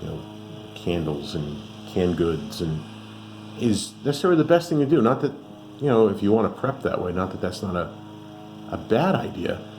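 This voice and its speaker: A man's voice: deep voice, very animated, moderate pace, a little mellow, soft background music. A man giving a casual, unscripted product review as a host, rambling conversationally and thinking aloud. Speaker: radio reviewer and channel host.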